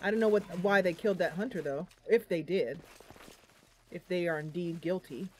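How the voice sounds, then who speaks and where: A woman's voice: lowish voice, normal speed, a little mellow, slight background noise. A woman giving casual gameplay commentary, chatting to viewers and thinking aloud as she goes. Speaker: gaming channel host. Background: game music.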